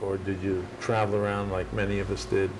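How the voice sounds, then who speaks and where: A man's voice: deep voice, flat delivery, a little fast, background noise. A man interviewing someone for an oral history, asking follow-up questions and giving short acknowledgements. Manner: attentive, frequent short acknowledgements.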